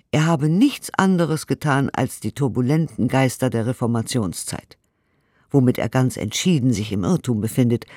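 A man's voice: medium pitch, mellow, dry recording, studio quality, natural voice. A male actor playing a disapproving, pompous and pious bourgeois critic, reading out his verdict in a radio play.

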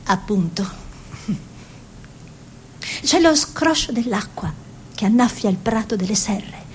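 Proud dreamy country girl turned painter, sensual and lyrical, radio play, bit of rumbly noise behind. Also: lively intonation, slow pace. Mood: wistful. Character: peasant-born woman painter and model, proud, dreamy, sensual. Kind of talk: theatrical radio drama monologue and dialogue.

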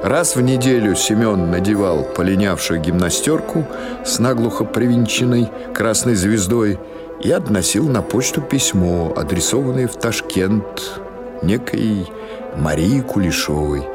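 A man reading literary fiction as an audiobook narrator, in a measured, expressive storytelling manner. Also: lowish voice, over loud music.

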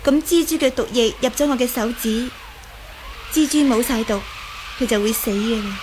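A woman's voice: on the high side, normal speed, darkish tone, close to an everyday voice. An actress playing a bitter, defiant young martial arts woman in a Cantonese radio drama. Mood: matter-of-fact. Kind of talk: Cantonese audio drama acting. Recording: faint background noise.

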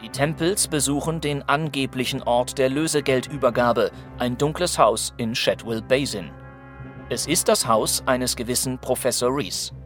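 A man's voice: mid-range voice, normal speed, lightly acted. A man narrating a scripted, matter-of-fact recap of a detective radio play. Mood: factual, suspenseful. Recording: loud music under voice.

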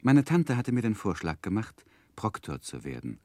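An actor playing an earnest, romantic gentleman narrating his own youth in measured, literary for a 1960s radio drama. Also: lowish voice, very animated, dry recording. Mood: reflective, composed. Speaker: narrator and main character. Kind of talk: dramatic first-person narration, 1960s German radio play.

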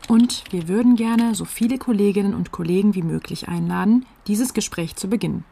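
A woman's voice: mid-range voice, fairly quick, creaky, warm tone, breathy edge. An actress playing an earnest, eager female tax office worker who narrates and makes calls in a radio drama.

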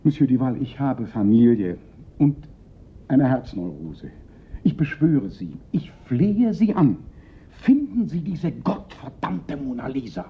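An actor playing a fretful, self-pitying police prefect of Paris in a German radio play, theatrical and given to panicky lament. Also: male voice, medium pitch, sing-song, measured pace, vocal fry, a little hiss or hum. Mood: desperate, pleading. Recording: dull, lo-fi radio play recording.

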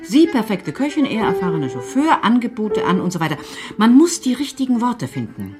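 An actress playing a practical, strict but caring middle-aged foster mother in a German radio drama, brisk and admonishing. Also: mid-range voice, big pitch swings, over loud music, natural voice. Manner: brisk, matter-of-fact, admonishing.

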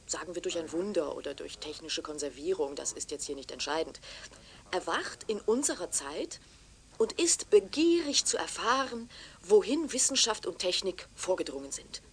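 A woman's voice: on the low side, lively intonation, moderate pace. A woman acting a deferential, eager young television reporter in a radio drama, courteous and persuasive. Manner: courteous, persuasive, formal, sometimes hushed.

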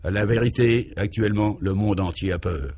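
A man voicing a troubled governor in a radio serial, grave and anxious, thinking aloud in halting, solemn phrases. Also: lowish voice, a crowd in the background, lightly acted.

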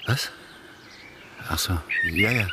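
An actor playing an uneasy, hesitant accomplice in a swindle, in a German radio crime comedy. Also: male voice, lowish voice, slow. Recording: loud background noise with birdsong.

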